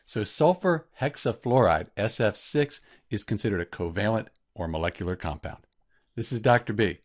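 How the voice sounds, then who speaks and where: A man narrating an instructional lesson as a teacher, explaining clearly step by step. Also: on the low side, lively intonation, normal speed. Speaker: chemistry teacher.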